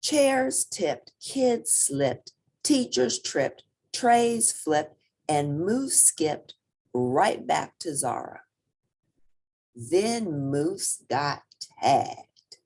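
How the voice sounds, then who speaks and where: A woman reading a children's picture book aloud as an expressive storyteller with theatrical emphasis. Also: deep voice, extremely slow, slightly rough.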